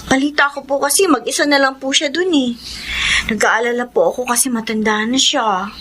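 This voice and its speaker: An actress in a Filipino radio drama playing a gentle, concerned young daughter with a polite, soft-hearted manner. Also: female voice, sits high, brisk, slight background noise.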